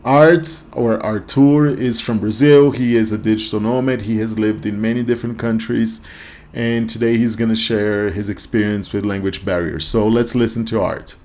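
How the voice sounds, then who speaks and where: A man's voice: on the low side, steady tone, moderate pace, darkish tone. A young man hosting a podcast, reacting in a loose, rambling way with many fillers and restarts. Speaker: podcast host. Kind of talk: casual reaction commentary.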